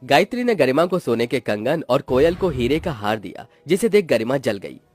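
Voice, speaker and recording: Male narrator for cartoon moral stories, expressive and melodramatic, very animated intonation. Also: medium pitch, normal speed, faint music behind. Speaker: story narrator. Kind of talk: scripted dramatic storytelling narration for a cartoon moral tale.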